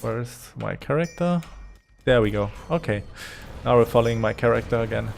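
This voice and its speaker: A man's voice: mid-range voice, expressive, normal speed, vocal fry, music in the background. A man with a German accent, a native German speaker, giving unscripted video game commentary in a hesitant, thinking-aloud way. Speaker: gaming commentator.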